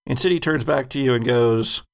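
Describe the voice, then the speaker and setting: An American man acting as game master, narrating a horror tabletop game in a suspenseful, deliberate way. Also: medium pitch, creaky.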